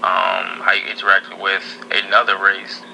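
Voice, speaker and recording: A man's voice: sits low, completely flat delivery, moderate pace, gravelly, pushed and bright, slight background noise, poor audio. A man answering interview questions in an emphatic way, listing his points one after another.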